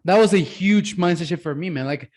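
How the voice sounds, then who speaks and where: A man's voice: high voice, brisk, a little mellow. A man of twenty-one hosting an interview, casual and affirming, often agreeing with "yeah, man". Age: 20s. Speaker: podcast host.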